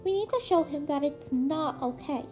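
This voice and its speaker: A woman's voice: sits high, lively intonation, moderate pace, mellow, faint music behind, pitched above the performer's own voice. A woman voicing a small animal school principal in a story, protective and commanding, with emphatic, formal delivery. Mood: fiercely protective.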